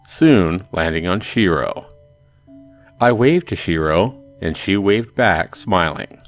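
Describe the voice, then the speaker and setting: A man hosting a let's play, casually commenting and reading a visual novel aloud. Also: deep voice. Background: music.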